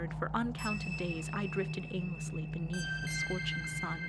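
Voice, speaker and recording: A woman's voice: on the low side, lightly acted, rough recording. A woman playing a lone seafarer haunted by dread, narrating in a formal, literary, solemn horror-drama manner. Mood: solemn, despairing. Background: loud ambient musical score.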